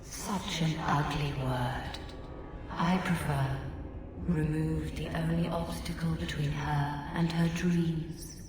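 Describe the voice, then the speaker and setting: A woman voicing a seductive, calmly manipulative female demon in a video game, speaking in a silky, deliberate way. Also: low-pitched, far from the mic. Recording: echoing cavernous reverb, noisy background.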